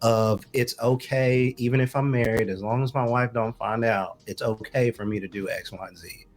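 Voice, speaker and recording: A man's voice: medium pitch, steady tone, moderate pace. A man speaking as a talk show guest on relationships, explaining his views in an informal, conversational way with asides.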